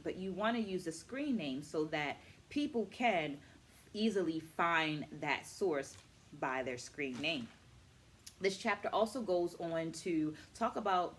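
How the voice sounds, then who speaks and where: A middle-aged woman, an educator, hosting a book vlog with deliberate, instructional delivery and emphatic enunciation. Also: sits low. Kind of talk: book review vlog, explanatory talk to camera.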